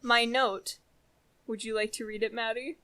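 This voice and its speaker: A woman's voice: fairly high pitch, fairly even intonation, normal speed, pushed and bright. A young woman co-hosting a podcast in casual, candid chat, informal and a little self-deprecating. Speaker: podcast co-host.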